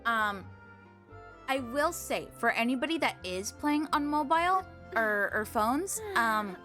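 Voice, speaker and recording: Slow-paced, higher-voiced woman livestreamer. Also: animated, sharp tone. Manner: gushing, thankful, girlish slang. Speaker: video game livestreamer. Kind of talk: casual chat with stream viewers. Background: game music.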